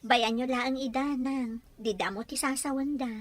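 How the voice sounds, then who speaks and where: A woman playing a gentle, respectful young wife. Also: sits high, fairly even intonation, moderate pace, no echo, natural voice. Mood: soothing. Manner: soothing and respectful.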